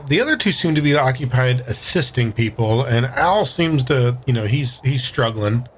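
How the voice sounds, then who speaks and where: A man's voice: medium pitch, a little hiss or hum. Man at a game table, slightly dull recording. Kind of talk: casual in-game chat.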